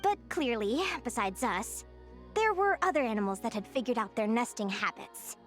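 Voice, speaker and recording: A young female adventurer and naturalist from a video game, voiced by a woman. Her voice is high and swings freely up and down as she eagerly tells stories. She is cheerful, earnest and chatty, with music playing behind her. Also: moderate pace, close to an everyday voice. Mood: animated storytelling. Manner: expressive, earnest, chatty, with small hesitations. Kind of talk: animated video game character dialogue. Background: background music.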